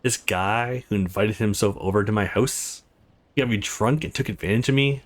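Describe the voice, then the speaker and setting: A man's voice: fairly low pitch, moderate pace, pitched below the performer's own voice, lightly acted. Man voicing a sarcastic, teasing young woman. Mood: mock accusing. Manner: sarcastic, foul-mouthed banter. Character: sharp-tongued, teasing young woman, secretly affectionate. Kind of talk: visual novel character voice.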